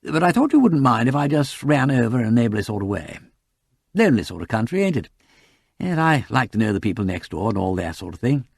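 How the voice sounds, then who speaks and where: A man voicing a breezy, polite aristocratic gentleman in a story, rambling on in an upper-class English accent. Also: medium pitch, sing-song, fast, vocal fry, breathy edge, no echo, studio quality, full character voice.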